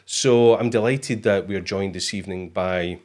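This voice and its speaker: Deep-voiced Scottish man hosting. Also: normal speed, a little mellow. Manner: chatty, joking, many fillers. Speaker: host. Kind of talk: casual livestream chat.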